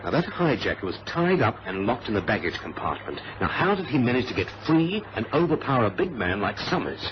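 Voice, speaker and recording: An actor playing a suspicious, determined airline man in an old radio adventure, emphatic and halting as he reasons things out. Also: medium pitch, very animated, close to an everyday voice. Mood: excited deduction. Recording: muffled, noisy vintage radio recording.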